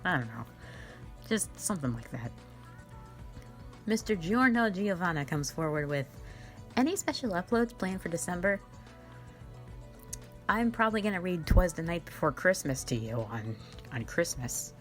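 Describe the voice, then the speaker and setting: Low-voiced woman, playful casual Q&A. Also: very animated, moderate pace, long pauses. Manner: playful, informal, rambling, self-deprecating. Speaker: ASMR and audio roleplay voice creator. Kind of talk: casual Q&A, reading and answering fan questions. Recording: faint music behind the voice. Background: faint background music.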